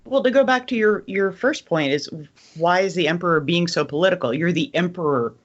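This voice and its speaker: A female co-host makes her case in a relaxed group discussion. She is blunt and informal, leaning on words for emphasis. Her audio is slightly dull and lo-fi. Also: lowish voice, brisk. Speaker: podcast co-host. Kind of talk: casual panel discussion.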